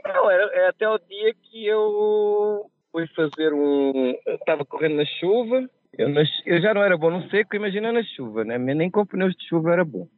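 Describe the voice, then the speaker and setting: A man from Portugal with a Portuguese accent softened by Brazilian speech, telling stories with a laugh as a podcast guest. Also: high voice, lively intonation, moderate pace, dry recording, faint music behind. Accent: Portuguese, softened by years in Brazil. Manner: chatty, laughs at his own mishaps. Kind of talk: casual storytelling. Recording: tinny phone line.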